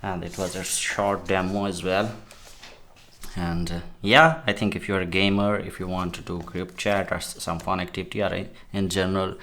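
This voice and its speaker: A man giving a casual, somewhat halting product demo as a gadget reviewer. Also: low voice, little pitch movement, a bit bright.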